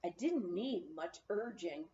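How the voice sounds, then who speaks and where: A woman reading a memoir aloud as an audiobook narrator, telling it in an expressive storytelling manner. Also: lowish voice, takes it slowly, muffled recording. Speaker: audiobook reader.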